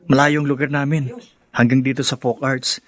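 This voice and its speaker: A man's voice: mid-range voice, level tone, moderate pace, fairly bright tone, lightly acted. An actor playing a poor, self-effacing teenage boy in a radio drama, hesitant and apologetic. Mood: shy, embarrassed.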